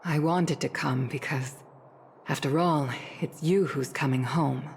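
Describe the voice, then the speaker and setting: A woman acting a dignified, caring mature female official in a video game, speaking in a solemn, deliberate and restrained way. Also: deep voice, gravelly, a little mellow, a little hiss or hum. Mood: tender, solemn. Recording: long reverberant hall echo.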